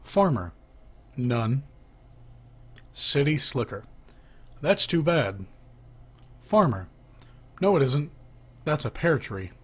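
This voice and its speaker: A man's voice: mid-range voice, very animated, slow pace, pauses often, husky. A man reading a children's joke book aloud, announcing who speaks before each line and lifting his voice for the questions. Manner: lifts his voice for the asking half of knock-knock jokes.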